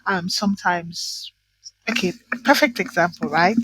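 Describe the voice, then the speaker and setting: A woman's voice: on the low side, measured pace, background noise. A woman from Nigeria in casual conversation, talking emphatically and often checking in with "right?" and "you know". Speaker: guest.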